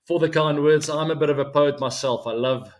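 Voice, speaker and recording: A man in his forties with a South African accent, Afrikaans his first language, hosting his own weekly cricket show in a relaxed, chatty way full of ums and asides to viewers. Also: on the high side, fairly quick. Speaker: show host.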